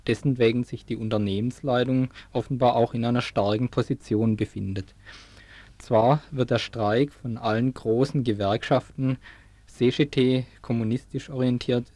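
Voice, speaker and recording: A man's voice: medium pitch, dark tone, no echo. A man reading a scripted radio news report as a reporter.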